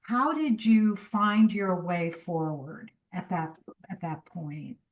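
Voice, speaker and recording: A woman hosting an interview over a video call, asking questions in an affirming, halting way as she searches for words. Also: fairly low pitch, far from the mic. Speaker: interviewer host.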